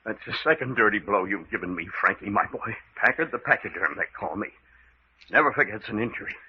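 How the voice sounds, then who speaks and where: An actor playing a cool, wry, defiant adventurer in a radio mystery, with a crisp, commanding manner. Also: male voice, fairly low pitch, animated, fairly quick, close to an everyday voice. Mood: grimly sardonic. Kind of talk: old-time radio mystery acting. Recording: dull lo-fi radio recording with noise.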